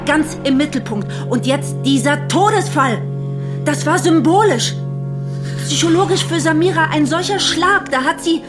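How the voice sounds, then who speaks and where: A woman's voice: sits high, moderate pace, over music, natural voice. An actress playing an indignant, principled woman in a radio play, speaking articulately and emphatically in a formal way. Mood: earnest. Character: indignant, principled woman defending an absent employee.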